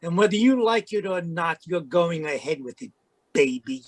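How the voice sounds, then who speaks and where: A man's voice: on the high side, lively intonation, moderate pace, noisy background, lightly acted. A man playing a pushy, slick, scheming villain in an old-time radio drama. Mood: menacing. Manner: slick, menacing, calls woman baby. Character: scheming, pushy male villain in frontier melodrama.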